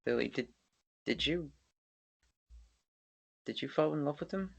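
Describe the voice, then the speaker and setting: A man playing a gentle, heartbroken partner in a table read of a drama, speaking softly and haltingly. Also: on the high side, expressive, creaky, breathy edge, lo-fi sound, heavily acted. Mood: hurt, probing. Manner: halting, soft-spoken. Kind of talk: acted screenplay table read.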